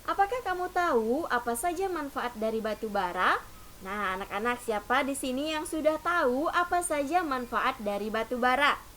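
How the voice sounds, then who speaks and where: A woman's voice: high voice, animated, normal speed, a bit bright. A woman teacher giving a patient lesson to young children, often opening sentences with "nah". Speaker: primary school teacher. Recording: faint hiss.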